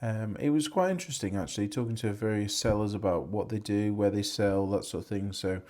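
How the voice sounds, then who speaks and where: An English man, hoarse and losing his voice, chatting casually in a rambling, self-correcting way about antiques he picked up. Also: on the low side, a little fast, darkish tone. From England. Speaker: antiques reseller vlogger. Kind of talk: casual vlog chat.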